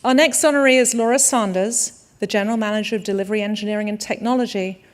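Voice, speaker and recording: A woman's voice: mid-range voice, fairly even intonation, quick pace. A woman presenting honorees in a formal style at a live awards event.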